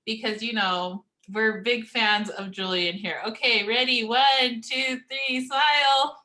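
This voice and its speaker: A woman's voice: on the high side, steady tone, slow pace, pushed and bright. A woman hosting a casual book club video call, speaking informally and passing the turn to each member. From United States. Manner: friendly, informal, hands the turn around.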